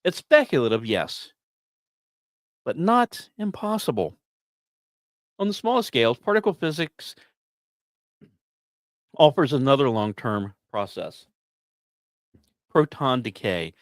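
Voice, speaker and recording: A man presents science news as a podcast host, reading from a script but slipping into casual, wry asides. His mid-pitched voice swings widely with theatrical emphasis. The sound is dry, with very little room in it. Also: big pitch swings, slow pace, pauses often, no room sound. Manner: wry, self-deprecating humor.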